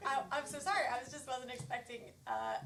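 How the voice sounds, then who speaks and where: A woman's voice: sits high, brisk, natural voice. A woman playing an anxious, naive young doll in improvised comedy, stammering and over-polite. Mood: nervous, apologetic. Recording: echoey stage, clear background noise.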